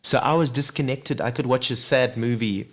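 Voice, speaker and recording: A man, a singer talking as a guest in a relaxed, reflective interview. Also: medium pitch, moderate pace. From Zimbabwe. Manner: reflective, earnest, many "you know" asides. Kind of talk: casual interview chat.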